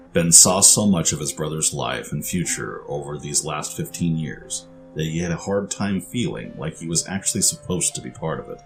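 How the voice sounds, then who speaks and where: Deep-voiced man narrating a story.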